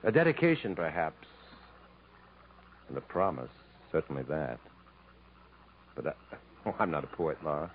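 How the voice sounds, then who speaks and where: A muffled vintage radio recording with some noise carries a world-weary man with a very low voice. He speaks in a measured, literate way, guarded and remorseful, in the dramatic manner of 1950s radio theatre. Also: wildly swooping pitch, exaggerated voice. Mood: weary, evasive. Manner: measured, literate, reflective, halting when pressed on feelings. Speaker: actor and first-person narrator in a radio play.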